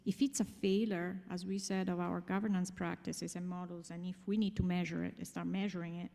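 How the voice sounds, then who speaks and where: A woman with an Italian accent speaks to a conference room in a mellow, mid-pitched voice. She explains her points emphatically and poses questions to her listeners. Her words ring with long hall reverb. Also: gravelly. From Italy. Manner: emphatic, explanatory, with rhetorical questions and check-in tags. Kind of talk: conference presentation to an audience.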